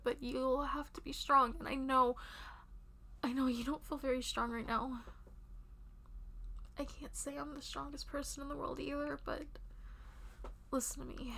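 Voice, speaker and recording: A woman's voice: high voice, gravelly, a bit bright, slight background noise. A woman playing a devoted, tender lover in an intimate audio roleplay, speaking breathily and reassuringly and often dropping toward a whisper. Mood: earnest, determined. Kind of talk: intimate one-sided romantic audio roleplay.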